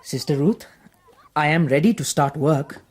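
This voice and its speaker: Vain young prince, eager, dark voice, husky, low. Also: female voice, animated, a little slow, heavily acted. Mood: earnest, vain. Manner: earnest, self-admiring, dark husky tone.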